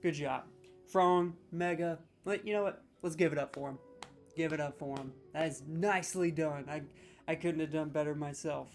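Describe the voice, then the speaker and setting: Excitable rambling man hosting gaming comedy. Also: on the high side, normal speed, faint music behind. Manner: excitable, rambling, sarcastic, swears freely. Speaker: gaming video host.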